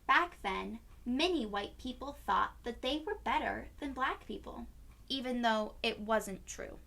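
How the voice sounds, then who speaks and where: Woman reading as patient storybook mother, very slow. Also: on the high side, expressive, creaky, pushed and bright, heavily acted. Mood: patient, explanatory. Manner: explanatory, instructive. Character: patient mother explaining hard things to her child. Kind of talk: children's storybook read-aloud.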